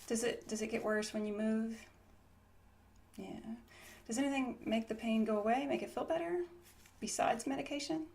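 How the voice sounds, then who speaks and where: A woman's voice: a little high, moderate pace, creaky, long pauses, a little mellow. A woman doing an ASMR medical roleplay as a nurse, explaining each step in a casual, reassuring bedside way. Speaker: ASMR creator playing a trauma nurse.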